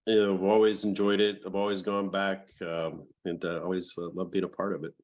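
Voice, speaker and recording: Indiana guy on a video call, lowish voice, chill and jokey, lots of ums. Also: little pitch movement, brisk, darkish tone. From Bloomington, Indiana, US. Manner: easygoing, joking, many fillers. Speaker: guest, former racer. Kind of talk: casual interview.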